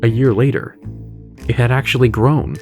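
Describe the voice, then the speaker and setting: A man narrates a scripted horror story in a medium-pitched voice. He reads as the storyteller of an audio drama. Music plays softly behind him. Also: normal speed. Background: music bed with guitar.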